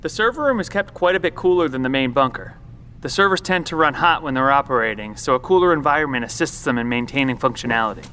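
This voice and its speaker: Earnest bunker professor, male, mid-pitched, measured and explanatory, faint background noise. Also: expressive, bright, forceful tone. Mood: explaining calmly. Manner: explanatory, hesitant, reasoning. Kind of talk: audio drama acting.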